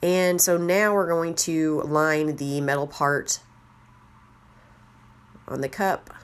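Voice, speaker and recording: Low-voiced, slightly raspy woman crafter. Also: flat delivery, takes it slowly. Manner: chatty, rambling, self-deprecating. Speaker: craft tutorial host. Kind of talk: casual how-to narration.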